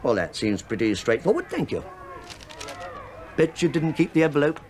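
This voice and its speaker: An actor playing a chatty, falsely bumbling middle-aged English police inspector who needles politely in a British radio drama. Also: male voice, on the high side, very animated, normal speed, darkish tone, a little hiss or hum. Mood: mock apologetic. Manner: rambling, deferential, needling small talk.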